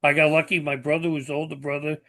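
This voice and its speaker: A man's voice: on the high side, little pitch movement, fast, a bit bright. A man in his seventies hosting a podcast interview, talking in an earnest, plainspoken way and leaning on fillers like "you know". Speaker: podcast host. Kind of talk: conversational interview.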